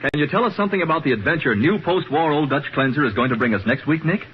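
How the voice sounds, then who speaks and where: A man's voice: on the high side, lively intonation, quick pace. A man narrating and announcing a 1940s radio program with dramatic, scripted delivery. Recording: muffled old radio recording, music behind. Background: music.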